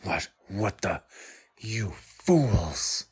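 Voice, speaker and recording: A man acts out a proud leader of the wolf folk in an audio roleplay. His voice sits low and is very raspy, with slow, measured delivery. He speaks formally and warily, like someone responsible for his people. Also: lively intonation, heavily acted. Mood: shocked anger. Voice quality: husky, raspy.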